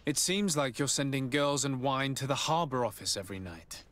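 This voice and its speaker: Game hero role, male actor: polite, composed young-noble diplomat, mid-pitched, audible background noise. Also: animated, normal speed, fairly bright tone, lightly acted. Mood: businesslike, persuasive. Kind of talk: video game cutscene acting.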